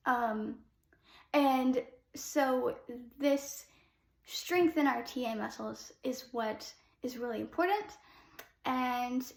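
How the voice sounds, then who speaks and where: A young woman who sings soprano, teaching a casual singing lesson. She explains with short sung demos and fills pauses with "um.". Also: sits high, vocal fry, echoey room. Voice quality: soprano speaking voice.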